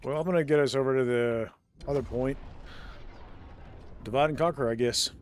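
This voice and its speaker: A man casually talking through a co-op space game on stream, chatty and quick to laugh, thinking aloud with plenty of ums. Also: mid-range voice, slight background noise. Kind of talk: casual gameplay commentary. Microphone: desk mic with noise suppression.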